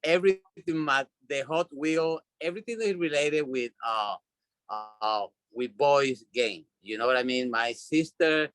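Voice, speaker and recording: A man's voice: mid-range voice, a little slow, fairly bright tone, smooth, rough recording. A man from Puerto Rico with a Puerto Rican Spanish accent, chatting as an interview guest, repeating and restarting words as he tells his story. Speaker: guest, doll designer. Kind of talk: casual interview chat.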